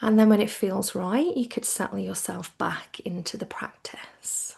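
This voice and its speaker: A woman teaching yoga nidra as a guided relaxation, soothing and hushed, with phrases that trail toward a whisper. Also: medium pitch, measured pace, creaky.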